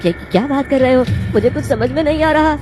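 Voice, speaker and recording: A woman's voice: sits high, a little fast, pitched above the performer's own voice, lightly acted. A woman playing a frightened village man in a horror cartoon, speaking anxiously and urgently. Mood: terrified. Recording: music under the voice.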